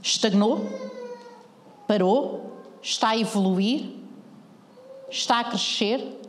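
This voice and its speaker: A woman's voice: on the high side, takes it slowly, in a large hall, slight background noise. A woman pastor with a European Portuguese accent preaching a sermon, earnestly questioning the congregation.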